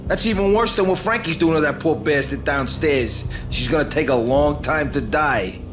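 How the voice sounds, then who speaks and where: An actor playing a mobster gossiping casually on the phone. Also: male voice, fairly high pitch, normal speed, background noise, natural voice. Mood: amused. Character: chatty male mobster on the phone. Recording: game soundtrack music behind.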